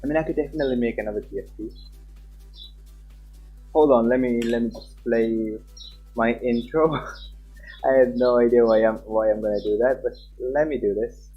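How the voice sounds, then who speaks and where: A man's voice: mid-range voice, steady tone, moderate pace, pauses often, husky, muffled recording, faint music behind. A man streaming live, chatting casually with viewers and talking to himself as he fiddles with his stream settings.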